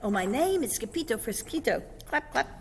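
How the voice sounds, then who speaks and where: Woman voicing an excitable little kitten boy, echoey. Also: fairly high pitch, normal speed, vocal fry, a little hiss or hum, voice pushed up. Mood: playful singsong. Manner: excitable, defiant, bragging. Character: naughty, boastful little Siamese kitten boy who believes he's a chihuahua.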